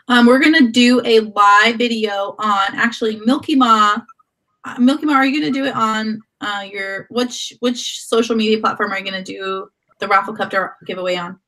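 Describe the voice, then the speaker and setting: A woman's voice: mid-range voice, sharp tone, poor audio. A woman hosting a live video chat, with a sore throat from a cold, who rambles and often restarts her sentences. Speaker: host.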